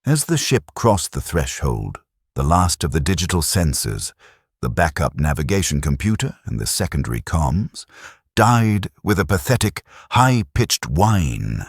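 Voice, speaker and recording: A man narrating a science fiction audiobook with dramatic, expressive storytelling. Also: deep voice, a little slow, slightly rough, no room sound, clean studio sound.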